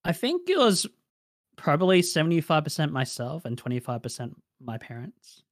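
A man's voice: fairly high pitch, wildly swooping pitch, normal speed, no echo, clean studio sound. A young man with an Australian accent, answering interview questions in a casual, reflective way with many fillers.

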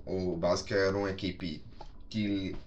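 A man's voice: low-pitched, flat delivery, a little slow, dull audio, slight background noise. A man with a Brazilian accent hosting a football podcast in a casual, conversational way.

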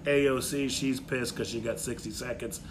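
A man's voice: mid-range voice, fairly even intonation, normal speed. A blunt, sweary man you'd hear on a homemade politics podcast, repeating his point over faint room noise. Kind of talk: casual political chat.

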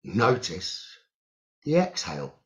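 A man leading a guided meditation, giving gentle instructions in short phrases with long pauses, on a muffled recording. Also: fairly low pitch, huge pitch swings. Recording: muffled, dull lo-fi audio.

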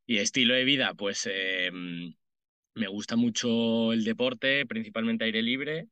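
Male, thirties, Madrid accent. Lowish pitch, very sharp bright tone, close dry recording. Colloquial podcast guest. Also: takes it slowly, smooth, dry recording, highs cut off. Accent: Madrid Spanish. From Madrid, Spain. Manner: informal, colloquial. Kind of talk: conversational interview answers.

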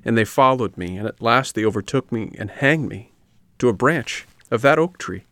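A man voicing an eager, excitable wooden puppet boy in a storybook reading. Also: medium pitch, moderate pace, dry recording. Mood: earnest.

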